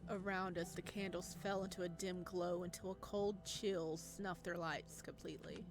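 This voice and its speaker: A woman's voice: fairly low pitch, normal speed. A woman reading a visual novel aloud with dramatic narration and exclamatory, spontaneous reactions. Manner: expressive, exclamatory. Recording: game music behind the voice. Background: game music.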